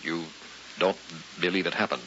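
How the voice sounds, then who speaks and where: An actor playing a frightened, exhausted husband in a vintage radio drama, halting and earnest, with a gravelly voice. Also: male voice, fairly even intonation, fairly bright tone. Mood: subdued, doubtful. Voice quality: gravelly, very low. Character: frightened, exhausted husband haunted by a strange accident. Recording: vintage radio, dull with faint hiss.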